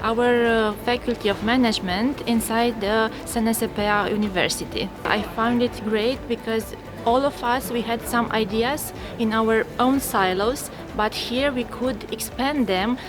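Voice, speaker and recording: A woman's voice: a little high, fairly even intonation, a little slow, hardly any pauses. A woman from Romania, representing her university faculty and speaking enthusiastically, with some fillers, in an event interview. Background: background music.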